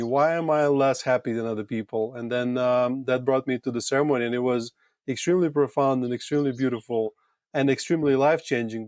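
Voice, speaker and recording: Halting Latvian-accented man, dry close sound. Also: mid-range voice, a little mellow, no echo. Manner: halting, thoughtful, hesitant phrasing with repeated words. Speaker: podcast guest, retreat owner. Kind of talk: casual personal storytelling in an interview.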